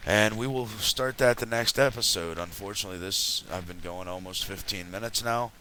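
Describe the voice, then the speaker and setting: A man hosting a casual game commentary, his voice raspy from having just woken up, rambling and chatty as he plays. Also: on the low side, moderate pace, bright, forceful tone, a little hiss or hum, low-quality recording. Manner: rambling, chatty, self-correcting. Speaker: let's play host.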